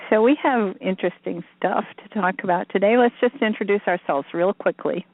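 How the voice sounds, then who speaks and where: A woman hosting a spiritual talk show, introducing herself and her co-host in a relaxed, plain way. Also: medium pitch, brisk, dry recording. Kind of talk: casual chat on a call-in show. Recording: dry tinny phone line.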